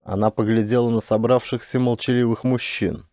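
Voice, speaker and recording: A man's voice: medium pitch, flat delivery, a little fast, mellow, no room sound, studio quality. A man reading an audiobook as scripted dramatic narration.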